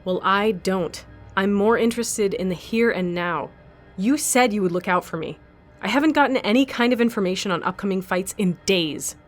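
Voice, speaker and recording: A woman's voice: medium pitch, moderate pace, soft background music, close to an everyday voice. A woman playing a bitter, self-preserving captive fighter in an audio drama, blunt, demanding and sardonic. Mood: angry, bitter.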